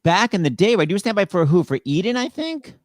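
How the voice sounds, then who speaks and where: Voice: medium pitch, very animated, quick pace, no room sound, studio quality. A playful host introducing and teasing guests on a video call show. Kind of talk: casual show hosting.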